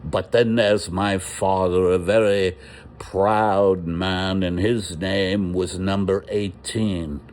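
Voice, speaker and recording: A man's voice: deep voice, steady tone, a little mellow. A man voicing a proud, defiant prisoner who rants and rambles indignantly. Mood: proud, rambling. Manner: slow, indignant, rambling declamation.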